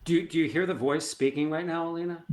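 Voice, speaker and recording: A middle-aged man, a performance coach, telling stories in an animated, emphatic way and acting out bits of dialogue. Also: a little high, fast talker. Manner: animated, emphatic storyteller who acts out dialogue. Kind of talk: conversational storytelling.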